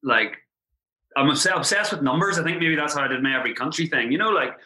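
A man's voice: on the high side, rough recording. A man with an Irish accent, probably in his thirties, chatting animatedly as a podcast guest about his travels. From Ireland. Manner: animated, chatty, quick asides. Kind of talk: casual podcast conversation.

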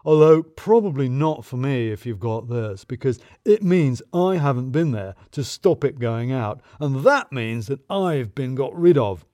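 A man's voice: mid-range voice, lively intonation, measured pace, hardly any pauses, dark tone, dry recording, studio quality, close to an everyday voice. A man voicing a worried male coworker's blunt, wry farewell note. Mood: urgent.

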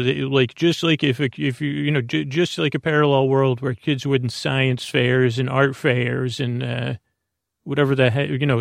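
A man with a creaky, gravelly voice hosts a sleep podcast. He meanders through a loose monologue, stopping, repeating himself and drifting off on tangents. The sound is close and dry. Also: medium pitch, dry recording. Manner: halting, digressive, repeats and trails off. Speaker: host of a bedtime sleep podcast.

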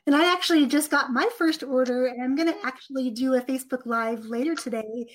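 A woman's voice: sits high, fairly even intonation, a little fast. A woman hosting a casual interview, upbeat and friendly as she reads audience questions. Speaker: interview host.

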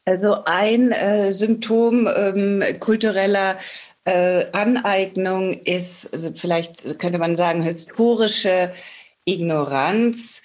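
Woman, podcast host with a middle-pitched voice, engaged and conversational in her interview questions. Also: takes it slowly, a little mellow.

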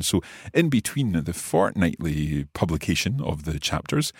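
A man hosting a podcast as a French teacher, explaining a course in a measured, explanatory way and slipping between English and French. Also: low-pitched, a little mellow, husky, no room sound, clean studio sound. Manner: measured, explanatory, with some restarts.

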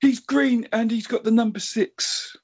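A man's voice: extremely high pitch, steady tone, unhurried, slightly rough, exaggerated voice. A man voicing a small, chatty, earnest elephant who stammers and fusses as he hosts a comedy podcast quiz. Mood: indignant. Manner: stammering, pedantic.

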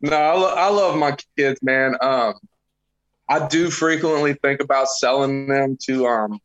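A man's voice: mid-range voice, measured pace, a bit bright, lo-fi sound. A man in his thirties, a podcast guest telling crude, joking stories with lots of swearing in casual chat. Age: 30s. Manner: profane, joking storyteller.

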